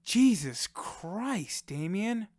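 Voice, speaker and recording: A man playing an excitable, naive young rookie in an audio drama, easily startled and full of questions. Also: high voice, extremely slow, full character voice. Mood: baffled.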